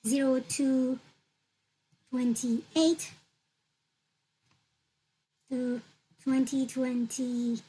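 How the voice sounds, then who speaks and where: A woman whose first language is Turkish, calmly walking through an online form step by step, repeating her points with fillers. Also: sits high, drawn-out words, pauses often, husky, poor audio. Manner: patient, repetitive instructional talk with fillers. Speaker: tutorial presenter. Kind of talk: screen-recorded walkthrough.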